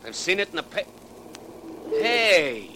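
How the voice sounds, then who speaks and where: Chatty, nosy cab driver, slangy and familiar, higher-pitched, vintage radio with noisy street backdrop. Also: male voice, sing-song, takes it slowly, sharp tone, full character voice. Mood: chatty, curious. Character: folksy, talkative, nosy male New York cab driver. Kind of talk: 1940s radio drama acting.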